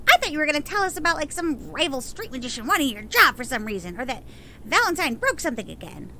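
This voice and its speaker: A woman playing an excitable, quirky, nerdy young know-it-all in an audio drama, chatty and eager. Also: high voice, fast, full character voice. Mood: excitable, teasing. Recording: noisy, hissy background.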